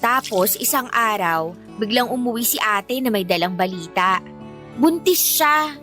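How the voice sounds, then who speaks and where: A woman's voice: a little high, animated, measured pace, pushed and bright. A woman with a Filipino accent playing a candid, hard-up eighteen-year-old girl in a radio drama, telling things in an emphatic, conversational way. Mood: confiding. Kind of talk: Tagalog radio drama acting. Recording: music bed under the dialogue.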